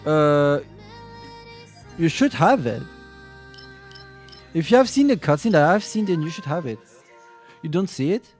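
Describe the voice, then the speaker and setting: A man with a slightly higher voice than most talks casually to his stream chat, halting and restarting as he explains things. Faint game music plays under him. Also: sing-song. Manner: halting, full of restarts and fillers while answering chat.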